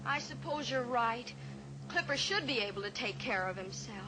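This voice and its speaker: A woman's voice: on the high side, animated, normal speed, noisy background, natural voice. An actress playing an eager, earnest young woman pilot in a 1950s radio drama, a little anxious in manner. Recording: old radio recording with music.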